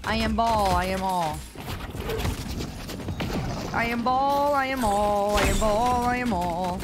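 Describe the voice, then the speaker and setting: A woman's voice: mid-range voice, takes it slowly, loud music behind the voice. A young adult woman with a Midwestern American accent, chatting playfully while streaming a game, often repeating phrases in a sing-song way. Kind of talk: casual gameplay streaming chat. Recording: loud game noise underneath.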